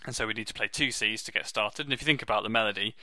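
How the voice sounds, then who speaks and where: A man with a low, deep voice and a strikingly bright, crisp tone teaches music theory. He explains each step in a friendly, modest way. The recording is close and dry, with no room sound. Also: very fast, dry recording. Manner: friendly, self-deprecating, steady explaining while drawing. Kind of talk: online music theory lesson.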